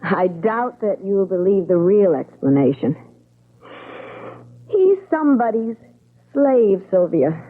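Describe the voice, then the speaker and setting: A woman's voice: mid-range voice, sing-song, takes it slowly, long pauses. An actress playing a bitter, wounded young woman in an old-time radio drama, speaking with earnest, resigned drama. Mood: guarded, weary. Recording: thin phone-like old radio recording.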